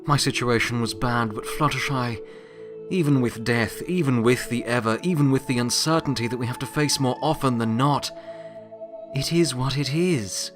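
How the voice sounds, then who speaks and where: A man playing a weary, wry, remorseful pony who serves as Death, speaking in a reflective, halting, confiding monologue in an audio drama. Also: medium pitch, music in the background, natural voice. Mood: earnest, hopeful.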